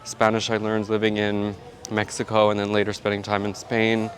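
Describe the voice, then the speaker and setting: A man's voice: on the low side, completely flat delivery, moderate pace. A man chatting casually and rambling a bit as he vlogs his day. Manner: chatty, rambling, self-talking. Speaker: vlogger. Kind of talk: casual vlog chat.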